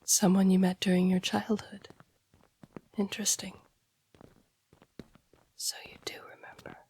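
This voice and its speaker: A woman playing a devoted, courteous masked assassin and royal guard in an audio roleplay, speaking in hushed, breathy, formal tones. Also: medium pitch, flat delivery, measured pace, in a whisper, close to an everyday voice. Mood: guarded, tender. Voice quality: breathy, husky. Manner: formal, deferential, hushed speech. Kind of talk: intimate audio roleplay acting.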